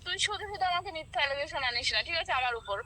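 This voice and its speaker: Sharp, high-pitched, indignant woman. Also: animated, racing. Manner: indignant, defensive, repetitive. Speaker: friend receiving a prank call. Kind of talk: heated informal phone argument.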